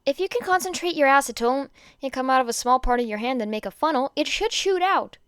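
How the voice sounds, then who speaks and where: A woman's voice: sits high, animated, quick pace, a bit bright, no echo, studio quality. A woman voicing a kind, eager, anxious teenage boy hero in a story reading, with an earnest manner. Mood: eager, helpful. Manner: fretful, rambling, apologetic.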